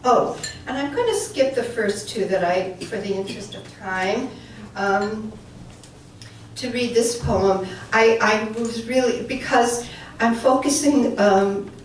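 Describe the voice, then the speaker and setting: A woman's voice: a little high, slow pace, slight background noise. An older woman whose first language was Welsh, reading her poems at a luncheon and chatting hesitantly between them. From born in England, raised in central New York. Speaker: poet reading at a society luncheon. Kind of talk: poetry reading with spoken introductions. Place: banquet hall. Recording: very echoey hall from far off.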